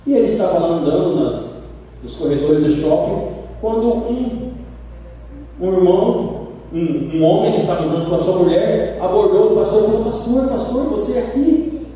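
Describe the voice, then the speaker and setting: A man with a Brazilian accent preaching a sermon, telling stories with lively acted-out quotes. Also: sits high, moderate pace, distant mic, a little hiss or hum. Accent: Brazilian Portuguese. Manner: animated storytelling, acts out quoted voices. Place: church sanctuary. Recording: roomy church hall from afar.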